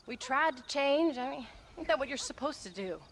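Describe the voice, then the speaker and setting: A woman's voice: sits high, lively intonation, normal speed, slight background noise. An actress playing a feisty, embittered frontier wife and mother in a video game, blunt and sardonic, with a rural American Western accent. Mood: bitter, subdued. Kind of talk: dramatic video game acting.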